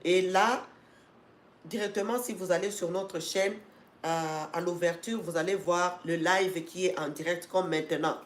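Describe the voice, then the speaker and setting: A woman's voice: on the low side, fairly even intonation, unhurried. A woman with a cold hosting a live stream for her educational channel, talking to viewers with many fillers and restarts and turning emphatic as she urges them on. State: congested with a cold. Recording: echoey room.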